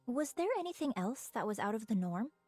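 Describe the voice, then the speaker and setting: A high-voiced, probing researcher. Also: female voice, very animated, fairly quick, a little mellow, no room sound. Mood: fascinated. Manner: curious, probing, poised. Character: inquisitive, composed female researcher with hidden menace. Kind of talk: dramatic game voice acting. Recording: music behind the voice.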